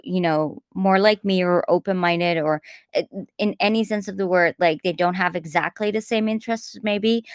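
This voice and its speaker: A woman's voice: lowish voice, no echo, clean studio sound. A woman with an American-influenced English accent, co-hosting a podcast in casual, chatty talk that wanders off on tangents and restarts sentences often. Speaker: podcast co-host.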